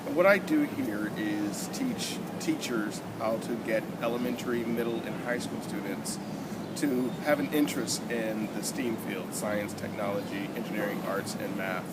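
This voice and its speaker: A middle-aged man, a science coordinator, explaining his work in a steady, earnest way. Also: fairly low pitch, normal speed. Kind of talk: interview talk. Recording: loud background noise.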